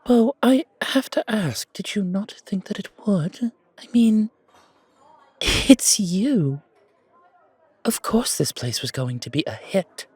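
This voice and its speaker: Man acting out refined enigmatic confidant, audio roleplay, higher male voice, unhurried, polished theatrical phrasing, gracious. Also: lively intonation, darkish tone, husky, no echo, exaggerated voice. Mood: teasing.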